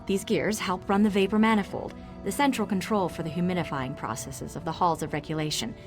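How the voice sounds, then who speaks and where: A synthetic woman's voice playing a brisk, self-assured engineer in a fantasy video game, speaking with precise, technical confidence. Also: lowish voice, steady tone, music in the background, close to an everyday voice. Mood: confident, explanatory.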